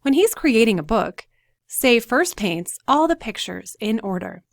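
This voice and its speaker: A woman reading a children's storybook aloud in an expressive, teacherly narration style. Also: a little high, unhurried, dry recording, clean studio sound.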